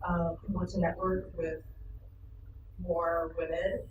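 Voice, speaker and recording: A slow, hesitant speaker talks in a casual interview and fills gaps with fillers. The voice sits back from the mic. The room is echoey, with loud ambient noise behind it. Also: mid-range voice, flat delivery, phone-quality sound. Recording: echoey, distant, noisy room.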